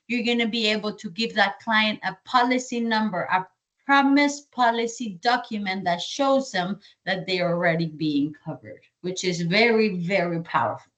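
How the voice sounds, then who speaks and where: A woman's voice: mid-range voice, normal speed. A woman from Colombia with a Colombian Spanish accent, giving a training presentation to sales agents and answering her own questions. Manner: energetic, repeats key points, asks and answers own questions.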